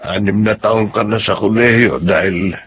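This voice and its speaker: A man playing a gentle, apologetic older Filipino father in a radio drama, speaking with soft-hearted hesitation. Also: fairly low pitch, moderate pace, a little hiss or hum, lightly acted. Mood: apologetic, tender.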